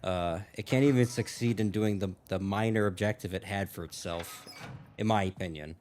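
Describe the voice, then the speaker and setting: A man's voice: lowish voice, moderate pace, a little mellow, a little hiss or hum. A man giving casual gaming reaction commentary, rambling and thinking aloud with some mild swearing.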